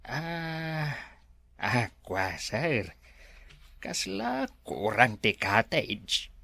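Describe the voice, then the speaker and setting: Older male underling, slow and halting, wildly swooping pitch, eager to please, radio drama. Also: very animated, creaky, sharp tone, heavily acted. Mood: deferential. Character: deferential, hesitant older male resort helper. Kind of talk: Ilocano radio drama acting.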